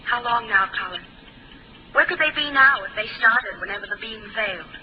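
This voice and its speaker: A woman playing a cool, calm young woman. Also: a little high, moderate pace, lightly acted. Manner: soft, calm and gently probing. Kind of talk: 1950s radio drama acting. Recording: dull old 1950s radio broadcast with faint hiss.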